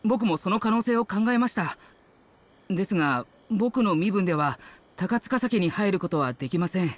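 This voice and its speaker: An anxious, humble young servant. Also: male voice, sits high, animated, normal speed. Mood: worried. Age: young adult. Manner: apologetic, very polite, hesitant. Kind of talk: video game character acting.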